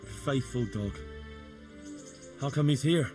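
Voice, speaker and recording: An actor playing a curious, good-natured young blacksmith's son in casual dialogue from a medieval video game. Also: male voice, mid-range voice, slow, over music, lightly acted.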